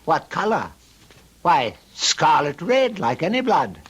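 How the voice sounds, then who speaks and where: An older, fatherly gentleman in a vintage radio play. His voice sits a little high, and he sounds reassuring and matter-of-fact. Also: very animated, slow, husky, full character voice. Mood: puzzled. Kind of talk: old-time radio drama acting.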